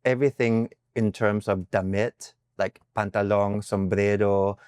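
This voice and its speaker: A young man from Denmark chats casually as a podcast guest. His voice sits low and sounds smooth and clear. The sound is dry and close, and he drops Tagalog phrases into his English. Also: fairly even intonation, unhurried, a little mellow, dry recording.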